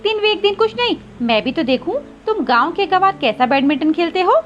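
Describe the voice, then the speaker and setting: A woman's voice: very high voice, animated, fairly quick, lo-fi sound, soft background music, pitched above the performer's own voice. A woman voicing a haughty, bossy young rich female pigeon who taunts others in a children's cartoon story.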